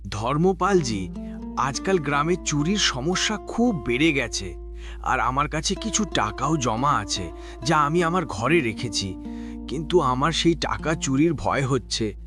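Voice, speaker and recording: A man's voice: medium pitch, animated, unhurried, lightly acted. A man voicing a poor, honest young labourer in a moral-story cartoon, speaking earnestly and deferentially. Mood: worried. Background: background music.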